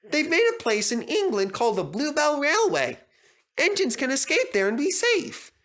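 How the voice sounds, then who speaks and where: Squeaky, excitable little engine. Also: male voice, normal speed, full character voice. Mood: eager. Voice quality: squeaky, high-pitched. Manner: eager, chattering, emphatic. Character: excitable, earnest young male tank engine. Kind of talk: animated children's story character voice.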